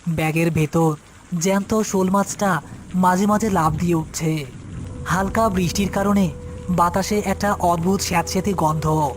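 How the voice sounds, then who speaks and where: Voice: medium pitch, fairly even intonation, moderate pace, faint music behind. A narrator reading a scripted horror story in a storytelling style.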